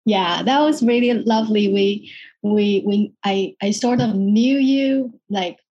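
A woman from Taiwan, a psychologist talking about her career in a relaxed interview, hesitant with many restarts and quick laughs. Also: mid-range voice, lo-fi sound. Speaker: guest psychologist. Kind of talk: casual interview chat.

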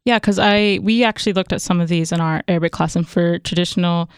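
A woman's voice: fairly low pitch, quick pace, clear, resonant tone, no echo, studio quality. A young woman hosting a radio interview, asking long, curious questions. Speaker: radio host.

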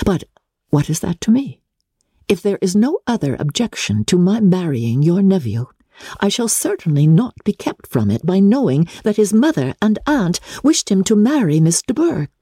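Woman acting a composed, defiant young gentlewoman, husky. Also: mid-range voice, dry recording, clean studio sound, full character voice. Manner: measured, firm, formal. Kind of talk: dramatic audiobook reading of classic literature.

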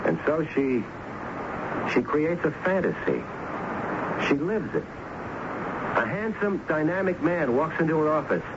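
An actor playing a dogged, shrewd police lieutenant in a radio drama, asking probing, insistent questions. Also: male voice, on the high side, animated, measured pace, pauses often. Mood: intent storytelling. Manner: probing, insistent questioning, hesitant lead-ins. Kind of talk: 1970s radio drama acting. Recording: muffled, noisy 1970s radio broadcast.

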